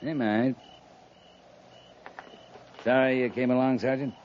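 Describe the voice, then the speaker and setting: An American man playing a calm, resolute cavalry captain in a 1950s radio western, measured, understated and commanding. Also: medium pitch, lightly acted. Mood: dry, calm. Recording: dull, noisy 1950s radio recording.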